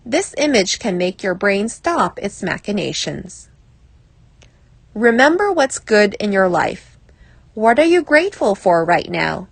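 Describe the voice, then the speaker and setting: A woman reading a self-help article aloud as scripted narration, in a measured, clearly phrased way. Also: fairly high pitch, no echo.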